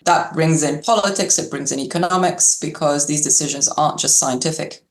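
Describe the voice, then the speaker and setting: A woman's voice: low-pitched, brisk. A woman doctor in public health, a podcast guest, answering questions in a thoughtful way with small laughs. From Algeria and Yorkshire. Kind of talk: interview answers. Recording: echoey room.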